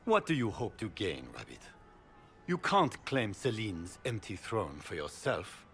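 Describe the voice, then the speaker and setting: Deep, haughty nobleman, swooping delivery. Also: huge pitch swings, a little slow, fairly bright tone, heavily acted. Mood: accusing. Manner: haughty, grandiose. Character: haughty, forceful warlike nobleman, claimant to a throne. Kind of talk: fantasy video game acting.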